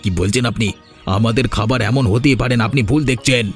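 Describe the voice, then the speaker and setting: A man's voice: mid-range voice, fairly even intonation, fast, natural voice. A man voicing an eager, unmarried young man in a cartoon, the scheming couple's friend. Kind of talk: cartoon dubbing. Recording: faint music behind.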